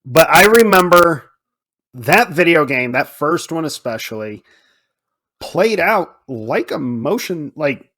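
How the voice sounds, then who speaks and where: A middle-aged man hosting a podcast, chatting casually and emphatically about films and games, with animated delivery and free swearing. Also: on the high side, slow, rough recording. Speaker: podcast host. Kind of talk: casual film and game chat.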